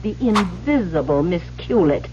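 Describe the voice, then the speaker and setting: An actress playing a bitter, obsessive secretary turned blackmailer in an old radio thriller, accusing and melodramatic. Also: female voice, on the low side, lively intonation, a little slow. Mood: bitter, impassioned. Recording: dull, noisy old radio broadcast.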